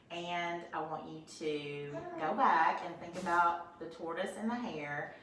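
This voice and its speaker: A woman teaching first grade, reading a storybook aloud in an expressive way and then explaining the lesson gently to young children. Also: lowish voice, slow pace. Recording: roomy echo from a distance, faint noise.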